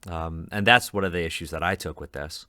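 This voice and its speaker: A man co-hosting a podcast discussion, talkative and quick to laugh and joke. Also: sits low, sharp tone, no room sound, clean studio sound. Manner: talkative, laughs often, jokey asides. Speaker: podcast co-host. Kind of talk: casual panel discussion.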